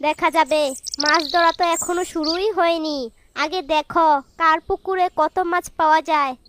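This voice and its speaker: A woman's voice: extremely high pitch, fairly even intonation, normal speed, fairly bright tone. A woman voicing an eager, teasingly boastful village wife competing with her co-wife in a cartoon fairy tale. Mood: competitive. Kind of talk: animated fairy tale voice acting. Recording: clearly noisy track with birdsong.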